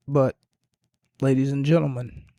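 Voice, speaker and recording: A man with a fading, hoarse voice hosts a podcast on his own, speaking slowly in a low, rambling monotone. His tone is dark and mellow, and the recording is dry and close. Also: no room sound. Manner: rambling, self-deprecating, low and quiet. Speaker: podcast host. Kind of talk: casual solo podcast recap.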